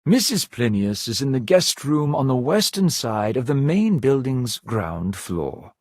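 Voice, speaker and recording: A man playing a polite, composed servant of a grand manor in a video game, speaking with formal, measured courtesy. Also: mid-range voice, big pitch swings, a little mellow, dry recording, clean studio sound. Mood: formal, courteous. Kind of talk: scripted video game dialogue.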